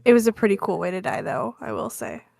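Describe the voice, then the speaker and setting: A woman's voice: medium pitch, fast talker, vocal fry, a little mellow, no room sound, studio quality. A woman playing a tabletop roleplaying game, casually narrating and speaking for her character.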